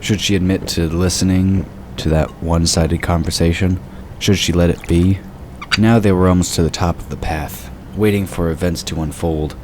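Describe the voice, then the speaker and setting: Male narrator for fantasy audio drama: extremely low voice, scripted storytelling, clear noisy ambience underneath. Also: steady tone, moderate pace, a little mellow. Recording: clearly noisy, sound effects behind. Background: rumbling ambience and sound effects.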